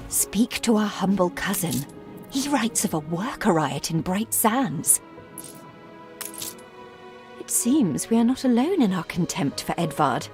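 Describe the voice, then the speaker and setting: Proud game heroine, young gentlewoman, crisp and formal, lively varied pitch, music behind. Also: a little slow, pauses often. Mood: brisk. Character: proud, sharp-tongued, brisk young gentlewoman, loyal sister. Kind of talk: video game character dialogue.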